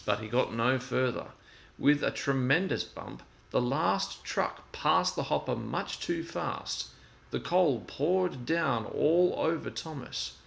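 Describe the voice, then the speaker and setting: A man reading a children's story aloud in an expressive storytelling style. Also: mid-range voice, takes it slowly, vocal fry.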